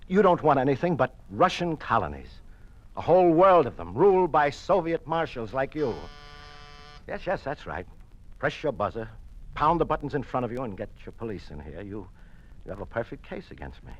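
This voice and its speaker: An actor playing a conflicted American communist politician in an old-time radio drama, speaking in an earnest, declamatory, halting way. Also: male voice, mid-range voice, big pitch swings, lo-fi sound. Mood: impassioned defiance. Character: conflicted American communist politician turning defiant against his bosses.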